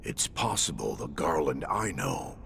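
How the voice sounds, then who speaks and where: An actor playing a boastful, melodramatic warrior who wanders between dimensions, speaking in a grandiose, florid, theatrical way with a gravelly voice in a video game. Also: male voice, sing-song, unhurried, a little hiss or hum, exaggerated voice. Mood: lofty, weary. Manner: grandiose, florid, swinging from hushed to booming.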